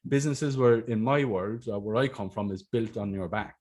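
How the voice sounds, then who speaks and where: A man's voice: lowish voice, moderate pace, darkish tone. An Irish man in his thirties, a podcast host telling his story in a casual, blunt and sweary way over a video call with a desk mic. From Ireland. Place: home room on a video call. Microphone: desk microphone on a video call.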